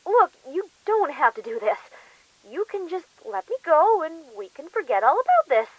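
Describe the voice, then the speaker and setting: A woman voicing a terrified teenage female pegasus held captive in an audio drama, meek, halting and pleading. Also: sits high, big pitch swings, no echo, lo-fi sound. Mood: tearful, pleading.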